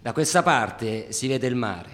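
A man playing a musing onlooker in quiet, naturalistic theatre dialogue. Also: mid-range voice, animated, a little slow. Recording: reverberant, distant hall sound.

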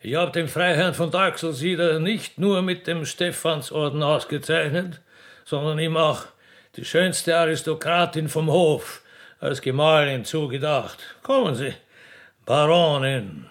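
A man's voice: on the high side, a little slow, a bit bright. A man playing a genial, befuddled old emperor with an Austrian accent, blustering and colloquial, in a radio play. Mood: pleased, grand. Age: older.

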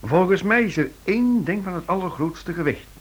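An actor playing a shrewd, reluctant amateur sleuth in a radio play, reasoning in a wry, measured manner. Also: male voice, sits high, animated. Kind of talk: Dutch-language radio play acting.

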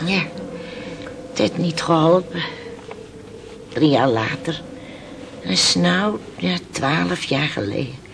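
An actress playing a weathered, resigned fisherman's widow in a radio play, telling her story haltingly in hushed tones. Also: sits low, lively intonation, vocal fry, exaggerated voice. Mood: sorrowful, hushed. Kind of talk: Dutch radio play acting. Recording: noisy old radio play recording.

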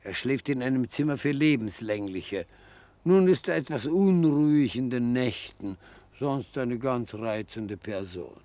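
An actor playing a genial, slyly coaxing old retired defence lawyer in a German radio play, with pompous, florid, old-fashioned phrasing. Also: male voice, medium pitch, animated, unhurried, warm tone, no room sound, lightly acted. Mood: matter-of-fact. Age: older.

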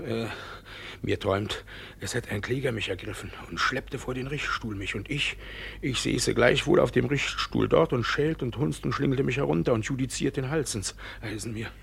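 An actor playing a shifty, blustering middle-aged village judge in a 1950s German radio play, with a rough, gravelly voice and theatrical delivery. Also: male voice, medium pitch, moderate pace, fairly bright tone, heavily acted. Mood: uneasy, conspiratorial. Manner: flustered, barking orders, theatrical. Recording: vintage 1951 radio recording.

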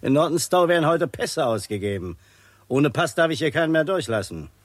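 A man's voice: fairly high pitch, big pitch swings, a little fast, no echo. A man playing a curt, bossy, impatient checkpoint guard in a 1960s German radio play. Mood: officious, impatient.

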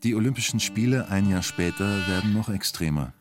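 Low-voiced male documentary narrator. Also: a little fast, music in the background.

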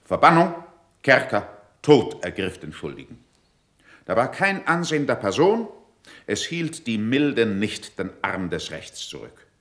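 A man's voice: medium pitch, big pitch swings, unhurried, a bit bright. An actor playing a stern, eloquent courtier accusing a rival before his prince, in formal, declamatory verse for a classical radio play. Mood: stern indignation. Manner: declamatory, formal, weighty pronouncements.

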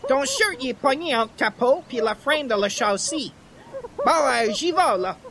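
A man's voice: very high voice, sing-song, a little slow, creaky, crowd noise, exaggerated voice. An actor playing a blunt, practical handyman who speaks slangy Quebec French with an English accent in a radio comedy. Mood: bossy, practical. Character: rough anglophone handyman speaking broken franglais, blunt, practical. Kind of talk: old-time radio comedy acting.

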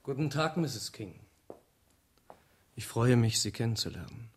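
A man's voice: mid-range voice, expressive, slightly rough. An actor playing an intense, courteous male activist leader in a German radio drama, formal and insistent. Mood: courteous, controlled. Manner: formal and measured, insistent with emphatic repeats.

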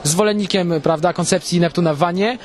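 A man's voice: high voice, fairly quick. A man interviewed on the street, answering casually off the cuff in a playfully mock-serious manner.

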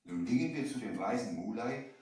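A man's voice: on the low side, animated, a little fast, darkish tone, recorded from a distance, lightly acted. A man as an earnest merchant adventurer, echoey. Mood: grave narration. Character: earnest male merchant from Baghdad recounting his adventure. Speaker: narrator and lead character. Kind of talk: scripted storytelling, 1970 audio drama. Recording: echoey vintage LP, off the mic.

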